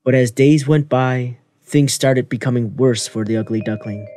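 Mellow male storybook narrator. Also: medium pitch, normal speed. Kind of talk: scripted children's story narration.

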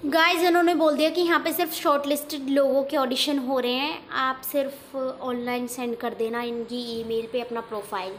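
A woman's voice: high-pitched, level tone, moderate pace, few pauses. A young woman explaining updates straight to her viewers in a casual vlog, filmed on a handheld phone outdoors. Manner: explaining, addressing viewers directly. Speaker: vlogger. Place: outdoors by city office buildings. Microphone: handheld phone camera. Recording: outdoor street noise.

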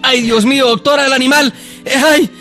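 A man's voice: very high-pitched, steady tone, a little fast, music in the background. A man playing a frantic, stammering ostrich owner who pleads with a vet for help in a radio phone prank. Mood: frantic panic.

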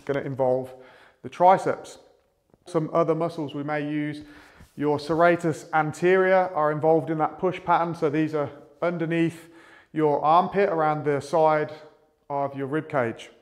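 A man in his thirties speaking as a fitness coach, explaining in a measured, methodical way to camera. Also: on the high side. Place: small indoor room with a whiteboard.

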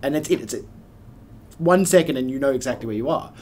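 A man in his forties with a mid-pitched voice explains a process informally, as in an interview. He is plain-spoken and adds emphatic asides. There is slight noise in the room behind him. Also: fast, darkish tone. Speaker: radio play producer explaining the process. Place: small office or studio room by a window, indoors.

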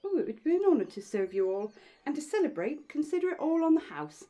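A woman's voice: high voice, big pitch swings, fast talker, dark tone. A woman playing a flustered, fawning elf baker in a fantasy roleplay, gushing and deferential. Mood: eager, gushing. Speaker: voice actor. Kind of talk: ASMR fantasy audio roleplay.

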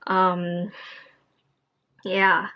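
A woman's voice: fairly low pitch, completely flat delivery, extremely slow, highs cut off. A tired young woman vlogging casually to camera from her home office, chatty and rambling with many fillers. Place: home office room, indoors.

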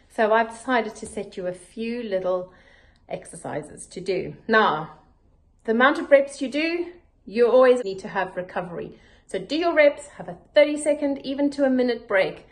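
A woman fitness coach demonstrating exercises with informal, encouraging short cues. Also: on the high side. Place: living room at home, indoors. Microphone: camera a few steps away. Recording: echoey living room, distant camera.

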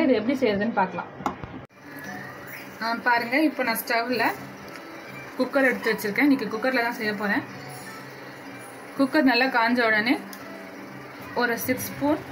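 A woman hosting a home cooking video, calmly walking viewers through a recipe step by step in her kitchen. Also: on the high side. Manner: unhurried step-by-step instructions, addressing viewers directly. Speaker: cooking channel host. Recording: noisy home recording.

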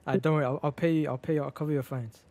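Fast-talking young man laughing through a shootout. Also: a little high, fairly even intonation, darkish tone. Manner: quick, laughing, joking. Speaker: streamer playing a roleplay character. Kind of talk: casual game chat during a shootout.

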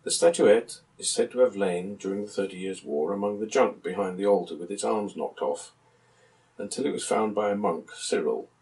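A man in his seventies reading a literary passage aloud in a measured, articulate storytelling manner. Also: on the low side, a little mellow. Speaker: reader of a book passage. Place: small book-lined room at home, indoors. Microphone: camera a few metres away. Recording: distant camera microphone in a room.

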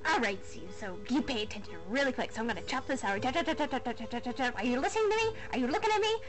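A woman's voice: high voice, lively intonation, rapid-fire. A woman improvising a comedy fandub in a goofy, mumbling way on a home microphone. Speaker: amateur dubber ad-libbing over cartoon clips. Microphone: USB desktop microphone. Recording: music behind a home USB microphone recording. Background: film music.

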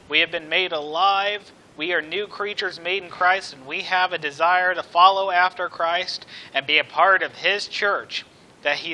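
A man preaching a sermon from a church pulpit, speaking in earnest, emphatic phrases with deliberate pauses. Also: high-pitched, bright, forceful tone, low-quality recording.

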